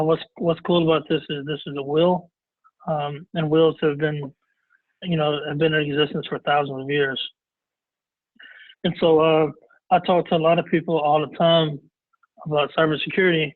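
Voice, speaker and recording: Raspy man sick with a cold. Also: a little high, no echo. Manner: halting, many fillers and restarts. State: hoarse from a cold. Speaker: webinar presenter. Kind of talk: casual presentation. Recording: dry, tinny phone-like line.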